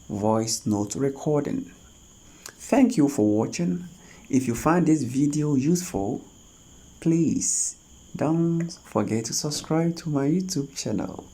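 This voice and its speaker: A tutorial host talking through phone app steps one at a time, in a halting, instructional way. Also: medium pitch, mellow, breathy edge. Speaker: host of a tech tutorial channel. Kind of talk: spoken step-by-step screen tutorial.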